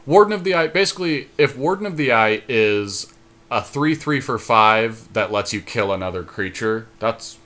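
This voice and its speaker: A man's voice: mid-range voice, expressive, moderate pace, sharp tone. A man co-hosting a podcast, casually talking through his choices in a card game. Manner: crude joking. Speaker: podcast co-host. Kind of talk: casual game commentary while drafting a card deck. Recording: noticeable background noise.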